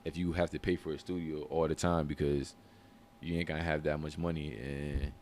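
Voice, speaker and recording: A man's voice: little pitch movement, a little fast, darkish tone. A man, answering interview questions about his music in a laid-back, slangy way. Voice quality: deep voice. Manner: laid-back, slangy, loose phrasing with many fillers. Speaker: rapper and musician.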